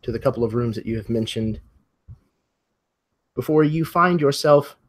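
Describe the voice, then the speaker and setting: A man working as game master, narrating a tabletop mystery in a measured, atmospheric way. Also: medium pitch.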